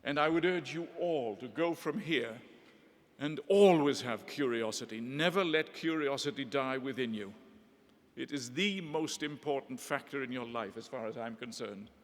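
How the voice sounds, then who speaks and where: A middle-aged man from Northeast England giving a measured, eloquent formal address with gentle humor, recorded in a large hall with long reverb. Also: medium pitch. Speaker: ceremony speaker.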